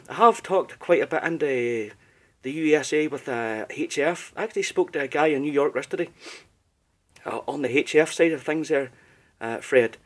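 A man from Northern Ireland with a Northern Irish accent, chatting politely as an amateur radio operator, full of compliments. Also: fairly high pitch. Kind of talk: casual ham radio contact.

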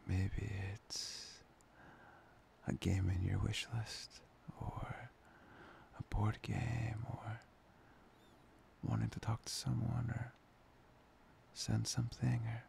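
Gravelly, soft-spoken boyfriend played by a man. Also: lowish voice, steady tone, takes it slowly, long pauses, slight background noise, full character voice. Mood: gentle, coaxing. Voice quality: breathy, often whispering. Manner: hesitant, intimate, soft-spoken. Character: playful, tender, affectionate young boyfriend. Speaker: ASMR voice performer. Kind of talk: boyfriend roleplay ASMR.

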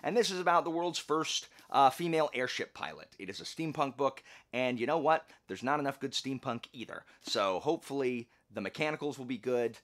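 A man's voice: mid-range voice, expressive, brisk, hardly any pauses, fairly bright tone. A man hosting a book channel, chatting casually in a folksy, jokey way and often interrupting himself. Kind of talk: casual vlog chat.

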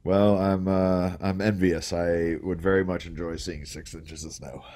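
A man co-hosting a game show podcast, relaxed and explaining things at length with plenty of fillers. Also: low-pitched, unhurried. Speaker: podcast co-host. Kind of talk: casual chat.

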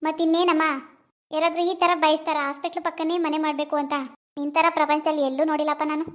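A woman's voice: sits high, monotone, fast, lightly acted. An actress playing a fretful older mother-in-law who scolds and frets at her daughter-in-law in a family drama. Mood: scolding. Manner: scolding, exasperated.

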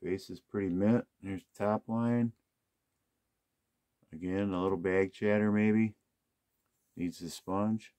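A man's voice: fairly low pitch, steady tone, slow, pauses often, dark tone. A man casually talking through an unboxing of used golf clubs, musing aloud to himself as he inspects each one. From United States. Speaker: golf club unboxing host.

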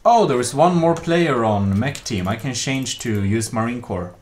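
A man's voice: medium pitch, moderate pace. A man in his thirties streaming a game, chatting casually into a headset with lots of fillers and chuckles. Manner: relaxed, hesitant with many fillers, chuckling. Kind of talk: casual gameplay chat.

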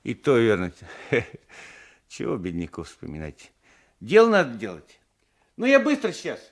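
Meek old peasant, lively pitch. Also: male voice, exaggerated voice. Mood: homely, fussing. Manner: hesitant, halting, homely. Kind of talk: Soviet radio play acting. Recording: 1980s radio drama recording.

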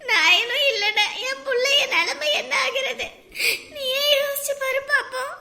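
A woman's voice: very high voice, fairly quick, bright, forceful tone. A woman playing a distraught, aggrieved young mother in a family drama, tearful and complaining in a wailing manner. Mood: pleading, aggrieved. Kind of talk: melodramatic family drama acting.

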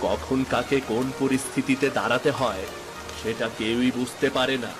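A man's voice: mid-range voice, fairly even intonation, normal speed, lightly acted. A man voicing village men in a dramatic folk-tale cartoon, speaking. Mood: reproachful. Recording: music behind the dialogue.

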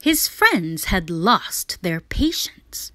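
A woman reading a children's picture book aloud as a storyteller, expressive and dramatic, sometimes dropping to a whisper for emphasis. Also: on the low side, drawn-out words, no echo, studio quality.

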